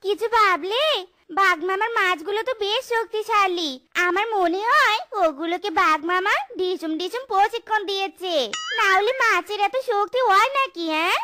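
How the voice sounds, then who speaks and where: An actor playing an eager female fox, a wife and accomplice in a cartoon, coaxing and excitable. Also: extremely high pitch, normal speed. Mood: curious. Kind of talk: cartoon voice acting. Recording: children's music behind.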